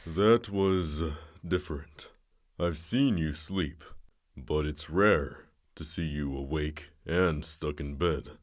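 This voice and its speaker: Very deep, slow, halting companion. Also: male voice. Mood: gently teasing. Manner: terse, halting, sparse words. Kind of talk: audio drama acting.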